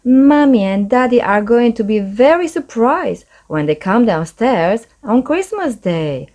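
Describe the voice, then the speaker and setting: A woman voicing a cheerful, excitable little girl pig in a children's storybook, with eager exclamations. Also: fairly high pitch, unhurried, pitched above the performer's own voice. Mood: excited.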